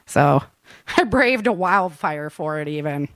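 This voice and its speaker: A woman's voice: low-pitched, lively intonation, slow, a bit bright, no room sound, studio quality. A woman hosting a casual, chatty conversation. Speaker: podcast host, author. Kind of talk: casual chat.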